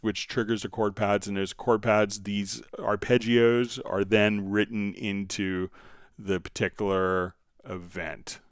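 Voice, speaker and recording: A man hosting a casual, easygoing software tutorial, explaining steps and answering viewer questions. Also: fairly low pitch, smooth, no room sound, clean studio sound. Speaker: live stream host, software instructor.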